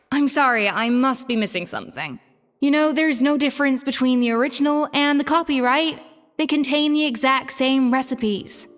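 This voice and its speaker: A poised young chef in a video game, with a fairly high voice. She speaks formally and precisely, confident and exacting, over music. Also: normal speed, a bit bright. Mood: cool, challenging.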